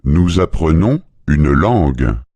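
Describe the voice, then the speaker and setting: A man saying model phrases slowly and deliberately for learners in a language lesson. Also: extremely low pitch, big pitch swings, dark tone, no room sound. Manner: deliberate, clearly spaced phrases. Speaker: French speaker in a language lesson.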